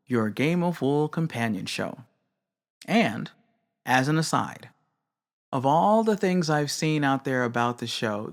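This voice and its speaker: A woman hosting a craft podcast, giving measured, candid and opinionated commentary on her own. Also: extremely low pitch. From not British. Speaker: podcast host. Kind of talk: reflective solo commentary.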